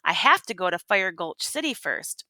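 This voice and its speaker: A woman's voice: lowish voice, big pitch swings, moderate pace, sharp tone, dry recording, exaggerated voice. A woman voicing a brave, honest young male cowboy in a storybook, with folksy Western talk. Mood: earnest, surprised.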